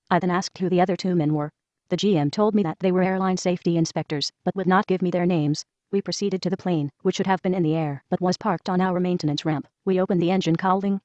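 A woman reads a first-person letter aloud at a quick clip, in an even, brisk read-aloud manner. Her voice sits slightly low and sounds dry, with no room around it. The recording is dull and lo-fi. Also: no room sound. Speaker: reader of a whistleblower letter. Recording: dull lo-fi voice track.

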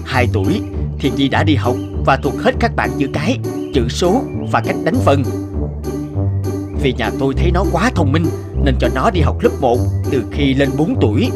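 A man's voice: medium pitch, sing-song, moderate pace, loud music behind the voice, close to an everyday voice. A man voicing a proud, demanding father in a cartoon, with an emphatic manner. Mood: boastful. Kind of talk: cartoon voice acting.

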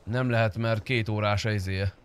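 A man's voice: on the low side, steady tone. Guy in his 30s streaming a game, crude casual banter, game car noise behind. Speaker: streamer playing a roleplay game. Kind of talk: casual gaming stream chat with friends. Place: indoor home streaming room. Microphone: desk streaming microphone.

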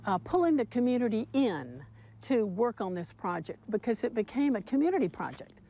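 A woman's voice: a little high, very animated, fairly quick, warm tone. A woman in her sixties answering interview questions outdoors with a lapel mic, plain and friendly with small fillers. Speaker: interviewee, local quilter. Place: outdoors in a rural yard.

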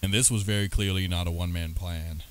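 A man's voice: low voice, steady tone, fast talker, slight background noise. A man reading a scripted video essay as its narrator. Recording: loud music under the voice.

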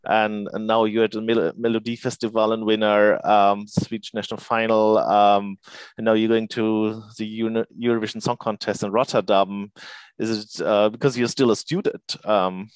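A man interviewing a singer over a video call on a headset, talking haltingly with many fillers. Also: lowish voice, level tone, smooth, no room sound. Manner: halting, many fillers, non-native phrasing.

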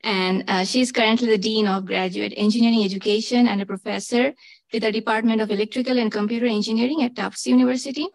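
A woman moderating a conference panel, reading speaker introductions with frequent pauses and fillers. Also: fairly high pitch, fairly even intonation, vocal fry, dry recording, poor audio. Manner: halting, many uh fillers.

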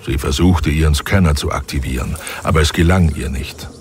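A man narrating a science fiction audio drama in a measured, grave way. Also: very deep voice, a little hiss or hum. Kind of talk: scripted narration.

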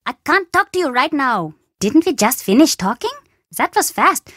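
Mischievous little boy for a video game: chatty, cheeky, animated delivery, dry close sound. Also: sits high, moderate pace, dry recording. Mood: dismissive. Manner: cheeky, dramatic, childlike bluster. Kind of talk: video game character dialogue.

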